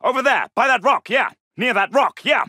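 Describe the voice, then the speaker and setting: A man's voice: very high-pitched, animated, moderate pace, sharp tone, husky, no room sound, clean studio sound, exaggerated voice. A man voicing an alert soldier in a video game, giving clipped, urgent military callouts. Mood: brisk, confirming. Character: alert, gruff British male Second World War soldier. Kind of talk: shouted combat callouts.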